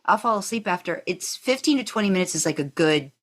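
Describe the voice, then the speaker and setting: Low-voiced woman, lively podcast host. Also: quick pace. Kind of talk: casual interview chat.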